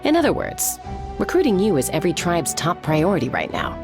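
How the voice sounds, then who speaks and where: A woman's voice: fairly low pitch, huge pitch swings, a little fast. A woman playing a confident, persuasive warrior and recruiter in a video game, speaking with assurance. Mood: earnest. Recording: game music behind the voice.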